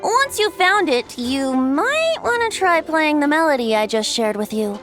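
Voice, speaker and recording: A woman's voice: high-pitched, very animated, a little slow, a bit bright, faint music behind, full character voice. A woman playing a boyish wandering bard who is secretly an ancient god, breezy and teasing, in a video game. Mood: amused, helpful. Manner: breezy, teasing, theatrical, lilting.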